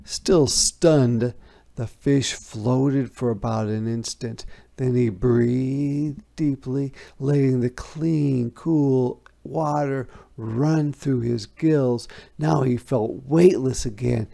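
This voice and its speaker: A storybook reader giving an expressive, deliberate, dramatic read-aloud narration for children. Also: mid-range voice, gravelly.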